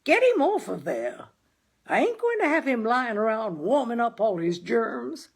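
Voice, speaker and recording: A woman's voice: fairly high pitch, sing-song, a little slow, breathy edge, voice pushed up, exaggerated voice. A woman voicing a stubborn, grumpy father in a storybook reading, with blunt, folksy, declamatory speech. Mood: cranky, demanding.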